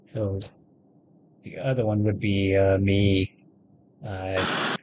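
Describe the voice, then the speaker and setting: A man in his thirties giving casual, halting let's-play commentary, thinking aloud as he plays. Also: deep voice, little pitch movement, rough recording. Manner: halting, thinking aloud, spelling out what he types. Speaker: gaming video host. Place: indoors at a computer. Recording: faint background noise, game ambience.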